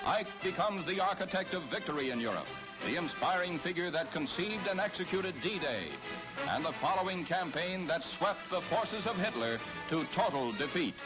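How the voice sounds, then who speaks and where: Old 50s newsreel guy, american, kinda high voice, very grand, music going behind him. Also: animated, normal speed, low-quality recording. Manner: grandiose, declamatory. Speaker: newsreel narrator. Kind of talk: 1950s newsreel scripted narration.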